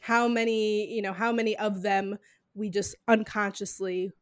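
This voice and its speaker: A woman's voice: medium pitch, fairly even intonation, moderate pace. A woman hosting a podcast, asking questions in a thoughtful, loosely phrased way with many fillers. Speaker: podcast host. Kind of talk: conversational interview.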